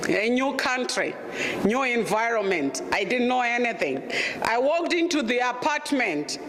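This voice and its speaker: A middle-aged woman from Zimbabwe with a Zimbabwean accent and a deep voice, telling her own story as a guest presenter in a candid, humorous and emphatic way. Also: very animated, unhurried, crowd noise. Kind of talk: personal storytelling talk. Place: lecture room. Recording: echoey room with long reverb.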